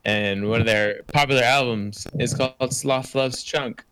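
A man's voice: mid-range voice, fairly even intonation, a little slow, a bit bright, smooth, rough recording. A man co-hosting a casual chat show, with a blunt, sweary way of bantering. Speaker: podcast co-host. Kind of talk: casual chat about music and movies.

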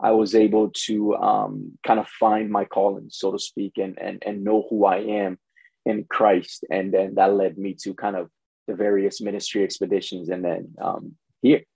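A man's voice: lowish voice, level tone, moderate pace, gravelly, mellow, breathy edge, dry recording, rough recording. A man from Ghana who grew up in the United States, speaking as a pastor and guest in a conversational interview, often saying "you know". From born in Ghana, grew up in the United States from preteens.

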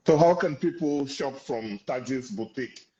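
A man's voice: medium pitch, normal speed, dark tone. A man hosting a casual interview, chatty, with fillers like uh and you know. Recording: dull lo-fi sound.

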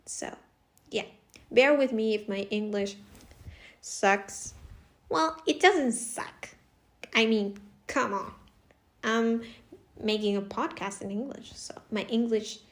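A woman's voice: on the high side, takes it slowly, long pauses. A woman in her twenties from Costa Rica, a native Spanish speaker, hosting a casual solo podcast in a chatty way, often laughing and restarting her sentences. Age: 20s. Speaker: podcast host.

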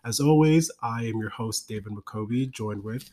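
A man's voice: fairly low pitch, normal speed, warm tone. A man hosting an interview podcast, introducing his guest and asking questions. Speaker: podcast host.

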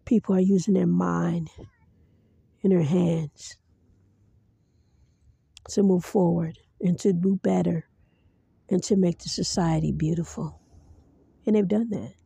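A woman's voice: on the low side, fairly even intonation, takes it slowly, creaky, long pauses, mellow, breathy edge, no room sound. A woman hosting a podcast in solo commentary, speaking in an earnest, preachy way straight to her listeners. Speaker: podcast host.

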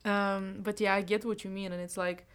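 A woman's voice: mid-range voice, little pitch movement, normal speed. Young woman teasing in casual chat. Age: young adult. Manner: teasing, prompting questions. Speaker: podcast co-host.

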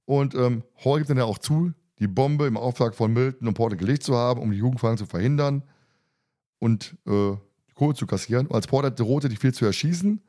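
A man's voice: mid-range voice, a little fast, dark tone. A man hosting a podcast, chatting casually and retelling a story in an informal, self-interrupting way. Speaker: podcast host. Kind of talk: casual podcast chat, retelling an audio drama episode.

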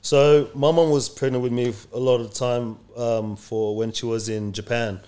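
A man's voice: mid-range voice, little pitch movement, normal speed, warm tone, clean tone. A young man from Indonesia, a podcast guest, talking in a laid-back, rambling way with lots of fillers. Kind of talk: casual chat.

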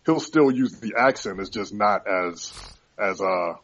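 A man's voice: on the low side, expressive, a little slow, fairly bright tone. A man cohosting a casual podcast, blunt and insistent in his opinions. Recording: dull lo-fi sound.

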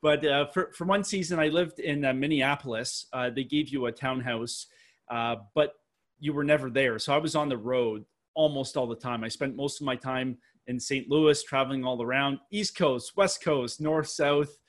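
A man's voice: mid-range voice, moderate pace. A man in his thirties with a Canadian accent, telling his story as a podcast guest with restarts and analogies. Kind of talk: casual interview answers.

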